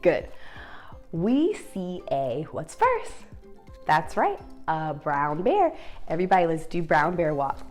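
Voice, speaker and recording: Woman teaching kids ballet, very animated pitch. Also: slow, faint music behind. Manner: encouraging, instructive, sing-song questions to children. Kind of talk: read-aloud story time and dance lesson for young children.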